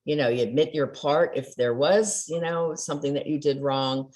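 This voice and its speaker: A woman's voice: low-pitched, normal speed, darkish tone. An older woman speaking as a guest coach in a casual podcast interview, telling stories and acting out quoted dialogue with animation. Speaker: trauma recovery coach guest.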